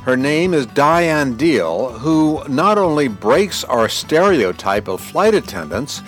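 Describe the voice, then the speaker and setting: Lively man in his sixties hosting. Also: mid-range voice. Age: 60s. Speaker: podcast host. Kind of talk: podcast interview.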